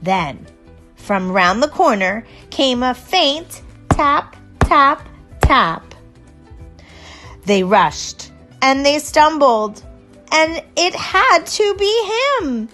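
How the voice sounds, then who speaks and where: A woman reading a rhyming children's storybook aloud in an expressive, unhurried storytelling manner. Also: high voice, faint music behind. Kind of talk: storybook read-aloud in rhyme.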